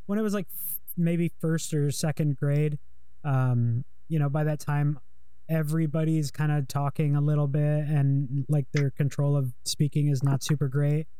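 A young man with a warm, mellow voice talks loosely and hesitantly, piling up fillers as he interviews a guest. The sound is dry and close, with no room around it. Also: fairly high pitch, steady tone, normal speed, clear, resonant tone, no room sound, poor audio. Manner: rambling, hesitant, lots of fillers. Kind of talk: casual conversation.

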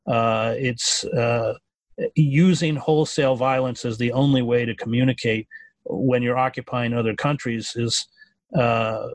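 A man speaking as a podcast guest, measured and earnest, with many fillers and restarts. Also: mid-range voice, no echo. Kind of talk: thoughtful political interview.

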